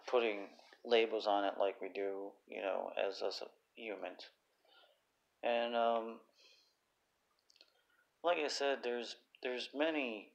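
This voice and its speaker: A man with a raspy voice talking casually on his own livestream, halting often with fillers and restarts. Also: on the low side, muffled recording. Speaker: YouTuber.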